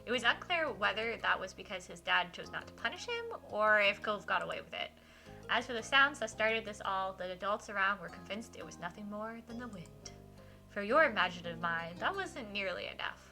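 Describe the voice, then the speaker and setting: A woman's voice: mid-range voice, animated, brisk, bright, forceful tone. A woman streamer reading a visual novel aloud expressively, with casual asides to her viewers and game music behind her.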